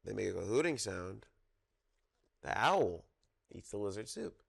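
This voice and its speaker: A man reads a children's book aloud in a fairly low voice with a lot of fry. He takes it very slowly, pausing to let listeners guess along with him. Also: very animated. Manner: interactive, pauses to prompt listeners for guesses. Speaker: storybook reader at a children's story time.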